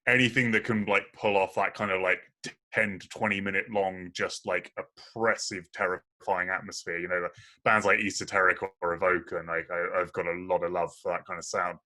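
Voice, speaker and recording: A young man with a very deep voice, chatting casually as a podcast guest and laughing often at himself. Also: little pitch movement, fairly quick, bright, forceful tone. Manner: laughs often, self-deprecating. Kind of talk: casual chat.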